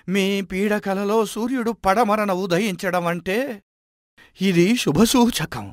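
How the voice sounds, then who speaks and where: Man playing a humble wise old man, high-pitched. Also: a bit bright, no echo, studio quality, exaggerated voice. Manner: respectful, explanatory. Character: humble, wise old commoner, earnest and reassuring to a king. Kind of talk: dramatized moral storytelling.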